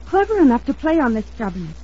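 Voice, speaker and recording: A woman's voice: a little high, very animated, fast talker, warm tone, a little hiss or hum. An actress playing an anxious, insistent young woman in an old-time radio drama, speaking in an earnest, emphatic, dramatic manner. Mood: bitter, worried. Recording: dull lo-fi vintage radio recording.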